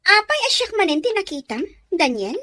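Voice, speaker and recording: Spoiled, defiant schoolgirl, played by a woman, audio drama: very high, swooping delivery, picks fights. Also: very animated, moderate pace, bright, forceful tone, no echo, full character voice. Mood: confrontational. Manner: snappy, confrontational. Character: spoiled, quarrelsome young schoolgirl daughter, rude and defiant. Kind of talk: Ilocano audio drama acting.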